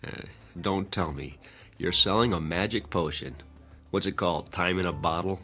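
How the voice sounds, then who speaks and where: A harried, skeptical, low-voiced young working man. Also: normal speed, a bit bright, faint music behind. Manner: wry, weary, plainspoken. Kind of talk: audio drama acting.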